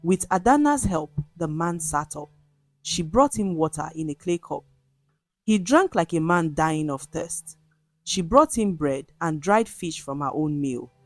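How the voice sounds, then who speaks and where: A woman storyteller reading a scripted folktale in a dramatic, measured way. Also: sits low, animated, dry recording, soft background music.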